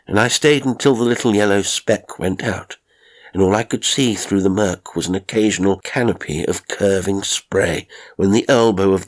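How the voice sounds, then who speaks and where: Male audiobook narrator, low husky register, measured and formal literary delivery. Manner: measured, formal, expressive storytelling. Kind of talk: literary horror story narration.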